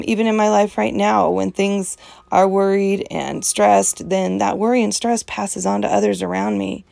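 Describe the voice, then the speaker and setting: A woman with a heavily gravelly, fry-laden voice talks in an earnest, emphatic, chatty way as she hosts an art journaling session. Her voice is strong and opinionated. The sound is dry, with almost no room around it. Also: medium pitch, a little mellow, no echo. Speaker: host of an art journaling channel. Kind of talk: casual devotional chat while art journaling.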